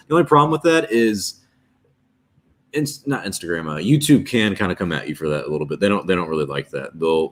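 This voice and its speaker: Young man with a very low voice. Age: 20s. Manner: rambling, restarts a lot, reads chat aloud. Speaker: streamer host. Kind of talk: casual livestream chat.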